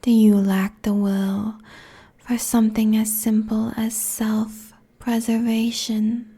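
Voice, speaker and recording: A woman's voice: on the high side, flat delivery, slow, mellow, husky, exaggerated voice. A woman playing an ancient, seductive and menacing succubus demon queen in an ASMR roleplay, whispering breathily and taunting with haughty deliberation into a close binaural mic. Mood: sultry, knowing. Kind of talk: ASMR roleplay audio drama. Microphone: close binaural microphone.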